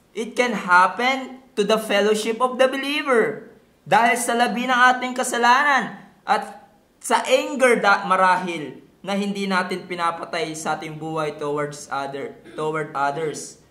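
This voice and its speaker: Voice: mid-range voice, bright, forceful tone, echoey room. A preacher from the Philippines with a Filipino accent, teaching in a sermon, mixing two languages and adding rhetorical tag questions. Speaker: pastor preaching.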